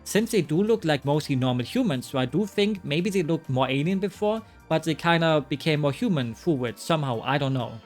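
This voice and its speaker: A man's voice: fairly high pitch, lively intonation, a little fast, hardly any pauses, soft background music. A man narrating a scripted game review with wry, joking asides. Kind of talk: scripted commentary.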